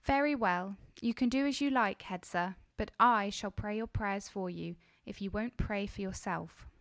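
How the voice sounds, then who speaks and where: A man voicing a gentle young woman who looks after two children, speaking softly and pleadingly. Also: sits high, normal speed, no echo, voice pushed up. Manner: soft, pleading, tender. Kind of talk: audiobook character voice.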